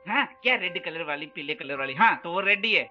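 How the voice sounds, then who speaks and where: An actor playing a rude, bullying husband who shouts abuse at his wife in a radio play. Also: sits high, animated, a little fast, highs cut off, soft background music. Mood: angry.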